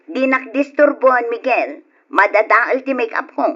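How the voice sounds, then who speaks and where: A woman playing a devoted, lively eldest daughter in an Ilocano radio drama, with emotive, expressive delivery. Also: on the high side, moderate pace. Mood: playful, teasing. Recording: dull lo-fi radio recording with music.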